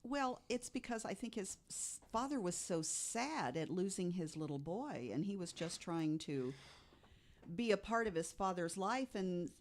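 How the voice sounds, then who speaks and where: A woman chatting casually as a podcast guest, expressive and quick to react to the others. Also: fairly low pitch. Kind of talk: casual chat.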